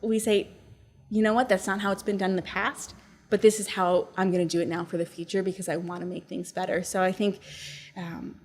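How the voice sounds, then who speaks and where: Woman, young adult, interview guest, calm, soothing voice, reflective. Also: fairly low pitch, brisk. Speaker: guest, neuroscientist and startup founder. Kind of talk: casual interview conversation.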